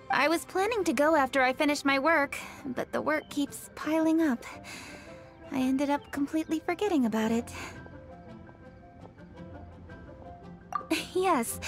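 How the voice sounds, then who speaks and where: A poised young lady official acted by a woman in a video game. She speaks in a high voice with formal, dutiful courtesy over clearly audible music. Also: lightly acted. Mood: apologetic, dutiful.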